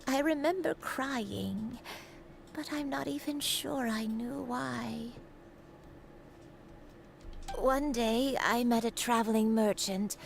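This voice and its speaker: An elderly, self-doubting granny from a video game. Her slightly high voice crackles with age and moves slowly and gently, with some noisy ambience behind it. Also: creaky. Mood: wistful. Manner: slow, halting, wistful storytelling.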